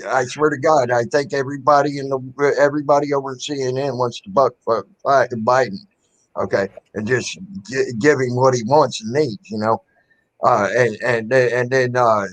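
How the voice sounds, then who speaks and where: A man's voice: medium pitch, moderate pace. A man hosting a casual livestream chat, rambling and swearing freely as he airs strong opinions.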